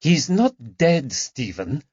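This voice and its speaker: A man voicing a gentle, tactful woman who comforts a friend with soft words. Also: mid-range voice, sing-song, moderate pace, no room sound, voice pushed up. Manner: soft and soothing. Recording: slightly dull dry recording.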